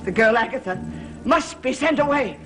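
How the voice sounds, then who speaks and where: A woman's voice: on the high side, expressive, over music, lightly acted. An actress playing a fierce, devoted female servant in a gothic soap opera, solemn and declamatory in manner. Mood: grimly resolute. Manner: slow, solemn, declamatory delivery.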